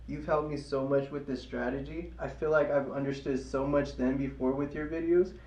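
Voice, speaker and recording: A man's voice: medium pitch, fairly even intonation, moderate pace, darkish tone, poor audio. A man with a light voice hosting a live trading stream, chatting casually with viewers and cheering on his trades. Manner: hyped, cheering and chanting during trades.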